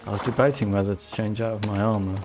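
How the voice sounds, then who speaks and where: A man's voice: lowish voice, steady tone, fast talker. A man giving casual, offhand live commentary as he plays a video game, half talking to himself. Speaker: game streamer. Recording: game music behind the voice. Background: game music and horse sounds.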